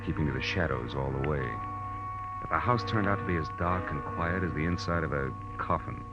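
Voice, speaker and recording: Deep-voiced hard-boiled radio private eye. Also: male voice. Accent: American. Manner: dry, wisecracking, slangy. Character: hard-boiled, wisecracking male private detective. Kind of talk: 1940s radio crime drama acting and narration. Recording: dull old radio recording with music.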